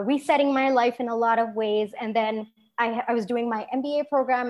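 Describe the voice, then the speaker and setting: A young woman from Singapore talks as an interview guest, her voice sitting a little high. She is lively and laughs easily, often restarting her sentences as she goes. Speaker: guest entrepreneur. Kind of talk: conversational podcast interview.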